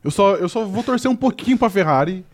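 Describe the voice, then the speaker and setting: A man's voice: high voice, animated, fairly quick. A young man from the interior of São Paulo with its accent, co-hosting a casual stream in a laid-back, teasing way. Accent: Brazilian Portuguese, São Paulo interior. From interior of São Paulo state, Brazil. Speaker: co-host. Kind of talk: casual live-stream chat.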